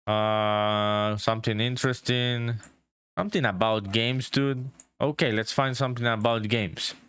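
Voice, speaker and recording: A man's voice: medium pitch, steady tone, measured pace, fairly bright tone, clean tone, dry recording. A man from a Spanish-speaking country whose first language is Spanish, chatting casually and swearing a lot while he livestreams game development. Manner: swears a lot, laid-back, says "dude" often.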